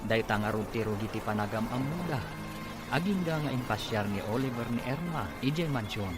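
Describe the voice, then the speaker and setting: Guy narrating a radio drama, medium pitch, theatrical announcer delivery, music under him. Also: animated, a little fast. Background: background music.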